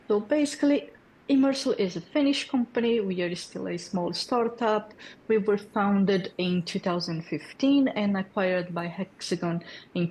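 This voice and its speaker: Brazilian woman explaining tech, slightly low voice. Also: a little mellow, slight background noise, rough recording. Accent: Brazilian Portuguese. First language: Portuguese. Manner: friendly, explanatory, frequent fillers and check-in questions. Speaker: developer community lead presenting at a hackathon. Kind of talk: technical presentation.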